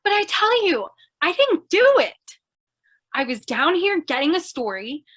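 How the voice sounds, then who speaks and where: A woman's voice: high voice, sing-song, unhurried, a bit bright, exaggerated voice. A woman playing a plucky, scatterbrained young newspaper reporter in an old-time radio comedy mystery, flustered and eager. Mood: sheepish, chatty. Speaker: voice actor.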